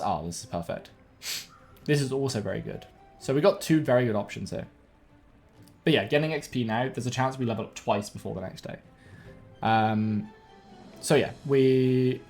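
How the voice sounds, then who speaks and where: A young man gives relaxed gameplay commentary from his home setup, sounding a little sniffly and bunged up. He thinks aloud and corrects himself as he goes, his voice at an ordinary pitch, with faint game music under him. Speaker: game streamer. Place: home room with webcam.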